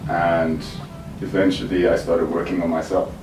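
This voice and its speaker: A young man chatting casually on a sofa, relaxed and talkative, often tagging "you know" and "right". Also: deep voice, normal speed, music in the background. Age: young adult. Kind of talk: casual chat. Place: living room with bookshelf, indoors. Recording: roomy, distant, faint room hiss.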